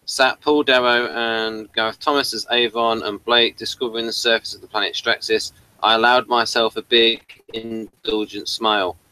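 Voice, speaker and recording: A man's voice: mid-range voice, sharp tone, no echo, low-quality recording. A middle-aged man reading liner notes aloud from a tablet during a casual review chat at home, wearing headphones, with small stumbles. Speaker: podcast host. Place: home study with bookshelves. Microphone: desk mic, wearing headphones.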